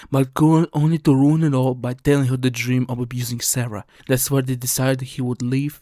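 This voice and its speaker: A man's voice: mid-range voice, a little mellow, dry recording, clean studio sound. A man reading scripted video essay narration in an earnest, somber, explanatory way. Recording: music under the voice.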